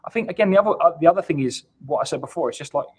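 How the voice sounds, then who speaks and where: Fast-talking husky man explaining business ideas. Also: fairly high pitch, animated, darkish tone. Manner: emphatic, repeats words as he builds points. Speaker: guest account executive at a software company. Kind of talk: business interview, conversational explaining. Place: online live video call.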